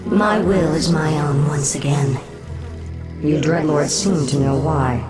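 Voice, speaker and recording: A woman's voice: sits low, takes it slowly. Cold, vengeful undead elven queen. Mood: cool suspicion. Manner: cold, proud, bitter. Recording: loud music behind the voice.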